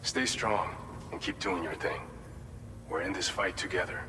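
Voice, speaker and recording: A man voicing an earnest, humble former boxing champ in a video game, speaking in a measured, plain-spoken, sincere way. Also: low voice, close to an everyday voice. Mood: encouraging. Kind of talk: video game cutscene dialogue and briefing. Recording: reverberant hall, background vehicle noise.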